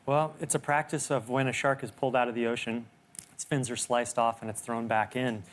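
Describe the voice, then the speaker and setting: A man's voice: mid-range voice, little pitch movement, brisk. A man being interviewed on TV as a shark conservationist, speaking earnestly. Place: TV studio.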